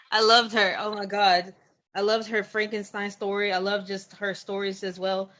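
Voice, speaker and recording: A woman with a mid-pitched voice chats casually. She talks in a gushing, slangy manner, informal and loose in her phrasing. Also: level tone, normal speed, a bit bright. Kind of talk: casual chat.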